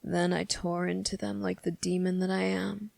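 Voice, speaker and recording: An actor playing a brooding, menacing demon with a hidden moral code in an intimate ASMR roleplay, speaking in slow, deliberate, taunting phrases with a gravelly, at times breathy voice. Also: medium pitch, flat delivery, a little mellow, no room sound. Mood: defensive, brooding. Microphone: close, dry microphone.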